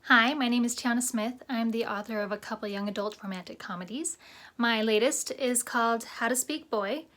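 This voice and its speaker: A woman with a somewhat high voice speaks as an author. Her style is casual as she introduces her work and asks a trivia question. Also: normal speed, fairly bright tone. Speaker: young adult author.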